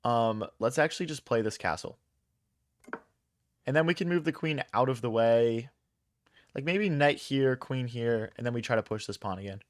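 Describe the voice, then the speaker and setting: A young man talks through his chess moves live, tossing out slang and answering chat as he goes. His voice sits at a middle pitch, recorded close in a dry small room. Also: no echo. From Philadelphia, USA. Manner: thinks aloud, casual slang, quick reactions to chat. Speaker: chess streamer. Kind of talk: casual live-stream commentary.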